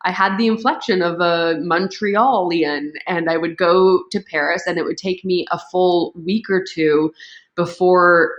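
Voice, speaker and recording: A woman's voice: on the low side, steady tone, unhurried, dull audio. A woman guest speaking thoughtfully in a casual interview. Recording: roomy echo.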